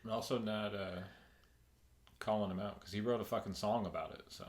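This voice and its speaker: A man around forty, a podcast guest, talking in a relaxed and thoughtful way, sometimes hesitating as he searches for his words. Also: lowish voice, a little fast. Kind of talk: casual chat.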